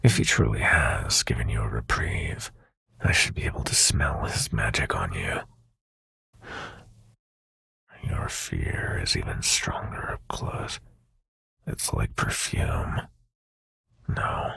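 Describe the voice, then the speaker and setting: A man playing a seductive, menacing prince of nightmares in an intimate ASMR roleplay, speaking in a breathy, silky, commanding half-whisper. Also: rumbling low, sing-song, pushed and bright, dry recording, clean studio sound, full character voice. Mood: predatory. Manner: slow, silky, commanding, taunting.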